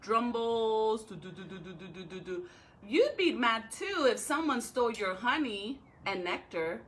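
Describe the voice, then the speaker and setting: A woman talking and reading aloud to young pupils as a school educator, with expressive, drawn-out phrasing. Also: a little high, a little hiss or hum. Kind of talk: read-aloud for children with chatty intro.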